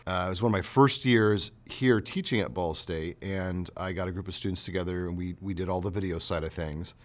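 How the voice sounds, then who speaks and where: A man's voice: low voice, fairly quick. A man who teaches at college, talking casually in an interview.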